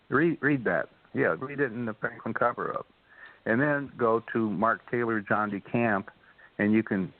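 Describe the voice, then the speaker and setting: A man speaking as a talk show guest, explaining things in an earnest, halting, rambling way. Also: fairly low pitch. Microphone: telephone. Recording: tinny phone line.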